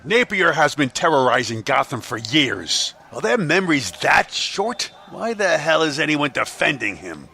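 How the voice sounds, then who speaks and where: A man playing an irate, scornful city mayor in a superhero fan dub, berating people in a rough, forceful voice. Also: a little high, big pitch swings, measured pace, hardly any pauses, heavily acted. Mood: angry, scornful.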